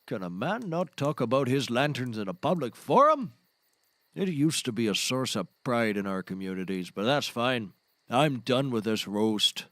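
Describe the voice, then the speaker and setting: A man's voice: medium pitch, big pitch swings, moderate pace, a bit bright, no room sound. A man playing a folksy, eccentric, self-serious storyteller in a scripted comedy, rambling in a grandiose way. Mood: indignant. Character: folksy, eccentric, self-serious male roast speaker telling tall tales. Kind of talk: scripted audio comedy acting.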